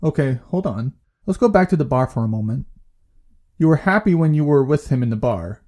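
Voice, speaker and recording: An actor playing a calm, patient therapist in an audio drama, measured and gently probing with questions. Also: male voice, mid-range voice, natural voice. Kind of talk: naturalistic audio drama dialogue.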